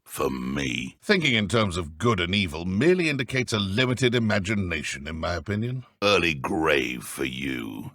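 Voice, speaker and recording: A man with a British accent voicing a ruthless, sneering dark wizard in a video game, menacing and mocking. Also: low voice, sing-song, normal speed, dry recording, studio quality, full character voice. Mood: cold threat. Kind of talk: video game combat and idle voice lines.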